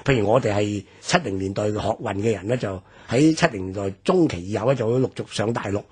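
A man's voice: on the low side, quick pace, a little mellow, slightly rough. A man in his sixties with a Hong Kong Cantonese accent, recounting memories and history in a reflective radio talk. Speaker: radio commentator.

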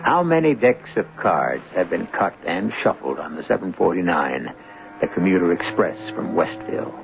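Ominous, gravelly radio mystery host. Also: male voice, fairly low pitch. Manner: slow, deliberate, wry. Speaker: host and narrator. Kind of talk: dramatic radio introduction. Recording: tinny, noisy 1970s radio recording.

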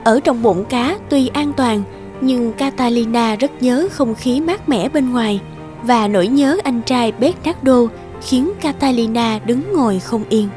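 A woman's voice: a little high, unhurried, a little mellow, faint music behind. A woman giving scripted fairy-tale narration as the storyteller.

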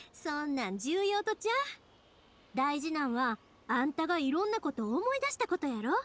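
A woman playing a cheerful, warm-hearted young anime game heroine, speaking in a spirited, encouraging way with a Kansai accent. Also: high-pitched, very animated, measured pace, slight background noise. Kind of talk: Japanese anime game voice acting.

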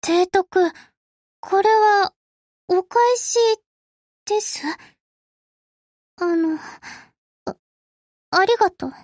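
A woman's voice: very high-pitched, extremely slow, long pauses, darkish tone, no room sound, clean studio sound, exaggerated voice. A woman voicing a sweet, polite young anime girl in a game, with a cutesy, careful manner. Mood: bashful. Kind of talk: Japanese mobile game character lines.

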